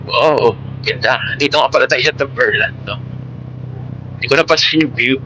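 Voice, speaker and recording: A man's voice: medium pitch, moderate pace, pushed and bright, rough recording. A man with a Filipino accent chatting casually in a rambling, informal vlog, mixing in English words, speaking into a Bluetooth intercom headset. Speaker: motovlogger. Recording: Bluetooth intercom mic with vehicle noise.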